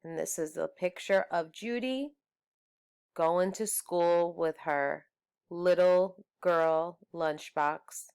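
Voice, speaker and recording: A woman teacher reading a children's storybook aloud in a measured, deliberate way. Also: on the low side, gravelly, darkish tone, clear, resonant tone. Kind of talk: storybook read-aloud narration.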